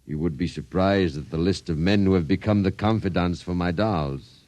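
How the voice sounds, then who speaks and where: An actor in a 1950s radio drama playing a suave, sinister blackmailer who speaks with courteous, deliberate menace. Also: male voice, low voice, darkish tone, dry recording, natural voice. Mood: smooth menace. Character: suave sinister doll-shop owner, a blackmailing self-proclaimed genius.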